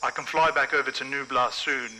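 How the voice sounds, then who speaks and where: A man playing a calm, bright-voiced colleague. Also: mid-range voice, slight background noise. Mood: reassuring. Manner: calm and reassuring, measured. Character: steady male colleague, supportive and reassuring.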